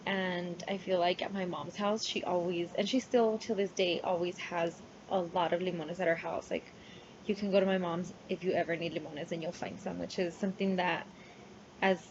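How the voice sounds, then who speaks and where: A woman's voice: on the low side, steady tone, moderate pace, background noise. Young woman, Spanish first language, college student; chatty class self-intro on a muffled webcam mic. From New Mexico, United States. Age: young adult. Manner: chatty, many fillers and "like"s. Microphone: laptop webcam mic.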